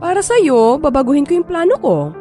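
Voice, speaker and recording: Actress as expectant Filipino mom: high, swooping voice, coquettish and clingy, in a radio-style drama. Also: female voice, fairly quick, music in the background. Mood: teasing, flirty. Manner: coquettish, teasing, expressive. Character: playful, flirty expectant mother, clingy and teasing. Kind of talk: Filipino audio drama acting.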